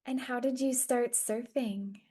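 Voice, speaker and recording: A woman's voice: fairly high pitch, fairly even intonation, unhurried, warm tone, husky. A woman from Hawaii hosting a podcast, asking her guest questions over a video call in an enthusiastic, affirming way. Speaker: podcast host.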